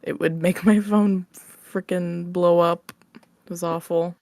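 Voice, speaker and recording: A woman with a slightly low voice talks as a guest in a casual interview. She is candid, informal and prone to giggling. Her sound is very dry, with hardly any room around it. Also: fairly even intonation, slow, darkish tone, no room sound. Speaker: interview guest, audio roleplay creator. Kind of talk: casual chat.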